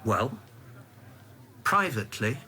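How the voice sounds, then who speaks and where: An actor playing a reserved, careful English civil servant who also narrates, in a radio drama. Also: male voice, medium pitch, big pitch swings, slow, pushed and bright, heavily acted. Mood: guarded. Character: guarded, principled British civil servant and narrator.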